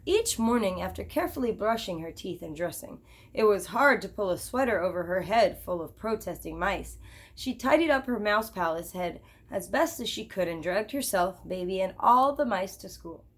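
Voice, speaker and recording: A woman's voice: mid-range voice, animated, moderate pace. A woman teacher reading a children's picture book aloud expressively, with chatty asides to her listeners.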